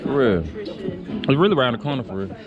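A man's voice: mid-range voice, sing-song, fairly quick, dull audio, over music. A young man vlogging while hiking up a rocky mountain outdoors, playful and teasing, laughing often, on an action camera. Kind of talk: casual hiking vlog chat.

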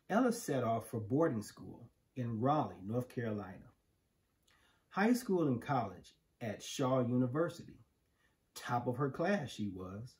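Slow, expressive American man reading a storybook. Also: mid-range voice. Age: middle-aged. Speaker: storybook reader. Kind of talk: read-aloud of a children's picture book. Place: living room, indoors on a couch. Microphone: camera a few feet away.

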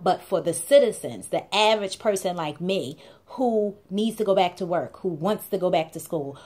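A woman's voice: fairly low pitch, darkish tone. A young woman talks straight into her camera from a small room. Her delivery is chatty and playful, with emphatic repeated phrases that drive each point home. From Baltimore, USA. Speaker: blog co-host. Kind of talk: casual talking-to-camera vlog.

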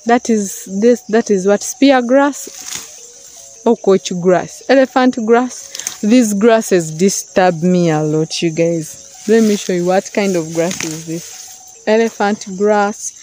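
A woman's voice: mid-range voice, slow, mellow. Young woman, chatty village vlogger explaining plants, outdoor phone audio with music. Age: young adult. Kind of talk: casual vlog walkthrough. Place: outdoors in a rural field. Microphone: phone camera, often held at arm's length.

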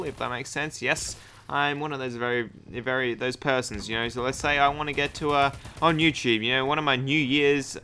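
Young guy talking over a shooter game, bright voice, hyped and rambly, gunfire faint behind. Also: mid-range voice, slight background noise. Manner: hyped, rambling, self-deprecating. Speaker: gaming YouTuber. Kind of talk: casual gameplay commentary.

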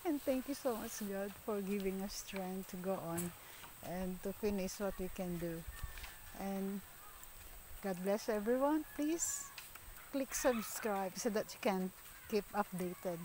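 Slow-talking Filipino woman vlogging outdoors. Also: medium pitch. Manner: chatty, laughs often, hesitant fillers. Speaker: farm vlogger. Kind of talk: casual vlog narration. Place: outdoors on a rural farm path. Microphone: phone at arm's length. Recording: outdoor phone audio with rural noise.

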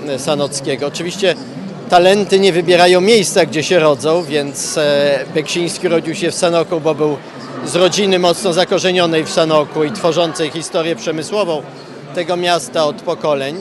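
A man giving interview remarks in measured, halting phrases. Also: fairly high pitch, steady tone. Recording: clear crowd chatter behind.

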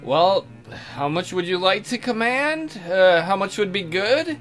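A man's voice: high voice, animated, unhurried, fairly bright tone, soft background music. A man playing a flustered, evasive private tutor in a visual novel, hesitant and placating. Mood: bemused, indulgent.

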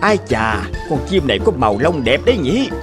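An actor playing an old rice farmer in an animated folk tale, with emphatic, drawn-out delivery. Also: male voice, mid-range voice, close to an everyday voice. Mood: stern, gloating. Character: stern old rice farmer who turns remorseful. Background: music behind the voice.

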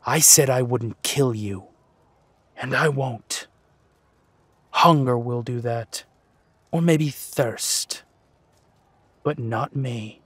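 Audio drama villain, played by a man: ruthless, coolly mocking, husky, slow and deliberate. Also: mid-range voice, fairly bright tone, no echo, exaggerated voice. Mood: cruel, mocking. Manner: slow, deliberate, menacing delivery.

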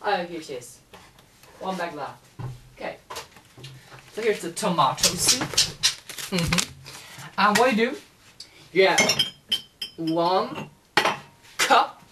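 A woman's voice: fairly low pitch, expressive, slow, long pauses. Chatty young woman with a noisy camera. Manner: chatty, rambling, talks to her pet budgie. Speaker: vlogger. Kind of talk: casual home cooking vlog. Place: small home kitchen, indoors. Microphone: handheld camera.

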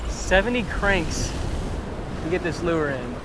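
A young man talking to camera while fishing off a rock jetty in rough sea, hyped and exclamatory, recorded on a body-worn action camera. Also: on the high side, animated, slow, very noisy. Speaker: fishing vlogger. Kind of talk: excited outdoor fishing commentary.

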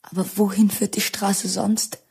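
A woman's voice: mid-range voice, little pitch movement, a little slow, creaky, dark tone, breathy edge, exaggerated voice. An actress playing an anxious, resentful neighbour woman bringing a warning in a radio drama. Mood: alarmed.

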